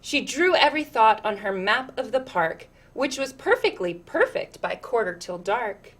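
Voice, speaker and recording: A woman's voice: on the high side, sing-song, moderate pace, a bit bright. A woman reading a children's picture book aloud expressively, with chatty asides and questions to her listeners.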